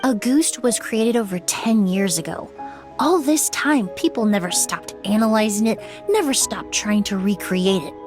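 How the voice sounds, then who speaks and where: A woman's voice: mid-range voice, lively intonation, lightly acted. Woman acting a composed, articulate young perfumer. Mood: firm, accusing. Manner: measured, articulate, explanatory. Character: composed young female perfumer, earnest, firm former apprentice. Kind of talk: dramatic video game voice acting. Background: background music.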